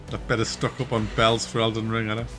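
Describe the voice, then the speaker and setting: A man with a Wearside Mackem accent from near Durham, casually chatting with viewers during a game stream, relaxed and chatty, often laughing and trailing off. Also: lowish voice, brisk, music in the background. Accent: Wearside (Mackem) North East English. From North East England, near Durham. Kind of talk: casual live-stream chat reading viewer comments.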